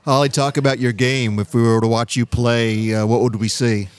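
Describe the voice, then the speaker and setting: A man's voice: mid-range voice, fairly even intonation, moderate pace, clear, resonant tone. A man hosting a business radio interview, asking questions in an enthusiastic, encouraging way. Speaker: radio show host.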